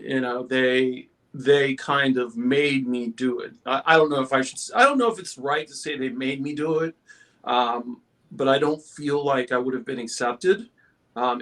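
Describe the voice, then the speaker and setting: A middle-aged speaker with a male-sounding voice reflecting casually and personally, in a halting, thoughtful way, as a panelist. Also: mid-range voice, fairly bright tone.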